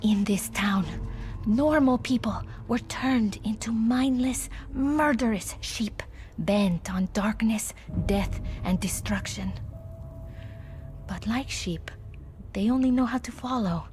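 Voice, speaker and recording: A woman's voice: fairly high pitch, takes it slowly, darkish tone. A woman playing an embattled, distrustful field operative in a video game, with a Spanish accent and an earnest, weary, dramatic delivery. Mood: grim resolve. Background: music.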